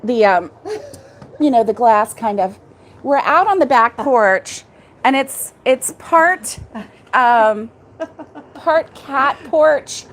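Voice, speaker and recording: A woman's voice: on the high side, animated, slow pace, pauses often. Bubbly woman hosting a livestream. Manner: bubbly, laughs often, greets viewers by name. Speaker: host of a crochet livestream. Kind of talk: casual live chat with viewers. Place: back porch, indoors, air conditioned.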